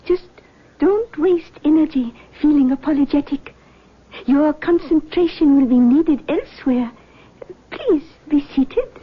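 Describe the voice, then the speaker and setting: An actress playing a solemn, mysterious female spirit medium in a radio drama, with halting, theatrical phrasing. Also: high-pitched, animated. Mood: composed, commanding. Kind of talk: 1970s radio drama acting. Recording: muffled old radio recording.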